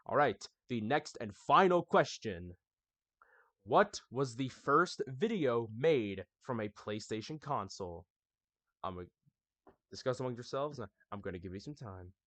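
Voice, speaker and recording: A man's voice: medium pitch, expressive, measured pace, fairly bright tone, no room sound. A young man hosting his gaming channel, recapping it in a casual, chatty way and talking straight to his viewers. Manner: chatty, addresses viewers as y'all. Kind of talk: casual scripted anniversary recap.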